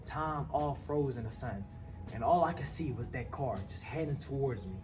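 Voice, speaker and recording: A young man from Palestine talks in an earnest, reflective way, circling back and restarting his sentences as he tells his story. He sits a little back from the microphone, so his voice sounds distant, and clear music plays behind him. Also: medium pitch. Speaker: podcast guest. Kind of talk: casual storytelling chat.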